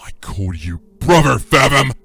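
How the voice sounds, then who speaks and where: Casting: male actor as a young tyrant dragon, mid-pitched, hugely dynamic, theatrical, wounded and menacing, music underneath. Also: sing-song, measured pace, vocal fry, breathy edge, exaggerated voice. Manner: theatrical, swinging from shouts to menacing murmurs. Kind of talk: audio drama acting.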